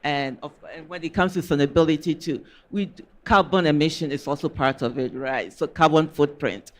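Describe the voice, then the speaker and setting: A man with a Ghanaian accent speaks earnestly on a trade-show panel. His voice sits a little higher than most men's, and he explains at length with small restarts. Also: a little fast, fairly bright tone. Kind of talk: panel discussion.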